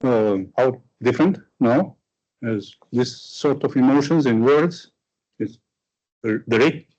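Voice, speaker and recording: A lecturer speaks English with a Romanian accent, slowly and with pauses as he searches for the right word. His voice sounds a little muffled, as on a lo-fi recording. He comes across as thoughtful and unhurried. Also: medium pitch, darkish tone. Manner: halting, searching for words. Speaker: visiting lecturer. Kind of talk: answering a question after a lecture.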